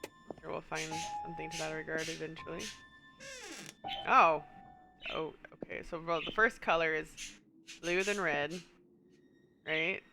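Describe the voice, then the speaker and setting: A woman with a blocked, sniffly nose talks through a puzzle game at her desk, mumbling half-thoughts and chuckling to herself. Her voice has a gravelly edge, and music plays clearly behind her. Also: sits low, fairly even intonation, measured pace, long pauses, pushed and bright. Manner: muses aloud, reads in-game text, chuckles often. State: stuffy nose. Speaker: streamer. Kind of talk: casual gameplay commentary.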